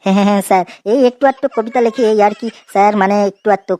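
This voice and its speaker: A woman's voice: mid-range voice, steady tone, rapid-fire, dark tone, close to an everyday voice. A woman voicing a cheeky, eager schoolboy who speaks hesitantly in a comedy classroom cartoon. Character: cheeky schoolboy reciting silly verse and answers in class. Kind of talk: comedic cartoon dialogue, singsong recitation.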